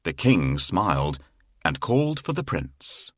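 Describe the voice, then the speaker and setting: A man narrating a children's fairy tale in a measured, expressive storytelling manner with a husky voice. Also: low-pitched, noisy background. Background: faint music.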